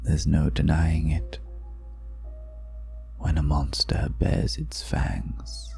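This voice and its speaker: A man playing a seductive, ageless vampire in an intimate ASMR roleplay, speaking slowly and half in whispers, teasing with quiet menace, close to the microphone. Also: monotone, faint music behind, full character voice. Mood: hungry, seductive. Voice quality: very deep, gravelly. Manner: slow, breathy half-whispered delivery with lingering pauses.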